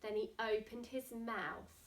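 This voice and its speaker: A woman's voice: on the high side, unhurried, far from the mic. A woman reading a picture book to young children theatrically, with asides and questions to her listeners. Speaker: storybook reader. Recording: echoey room.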